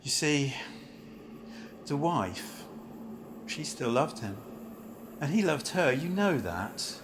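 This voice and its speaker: A blunt, world-weary man down on his luck acted by a man in an amateur radio drama. He is bitter and cynical, worn by grief, and his pitch rises and falls widely. It is a home recording with faint noise behind him. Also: very animated, slow. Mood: grieving. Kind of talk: amateur radio play acting.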